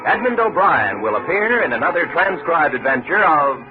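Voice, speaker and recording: A man's voice: on the high side, big pitch swings, moderate pace, over music. An American man reading scripted credits as a 1950s radio announcer, formal and declamatory. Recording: tinny, rough 1950s radio recording.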